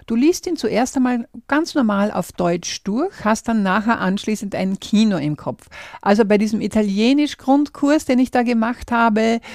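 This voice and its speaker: A woman hosting a language-learning podcast, calmly walking the listener through a method step by step and speaking to them directly. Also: medium pitch, gravelly, no echo, clean studio sound. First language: German. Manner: calm and explanatory, walks through numbered steps.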